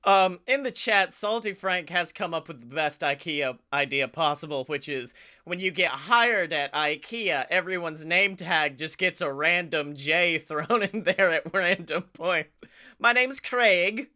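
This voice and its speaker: A man's voice: fairly high pitch, a little slow, bright, forceful tone. A man co-hosting a comedy podcast, chatting casually in a playful, teasing manner. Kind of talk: casual comic chat.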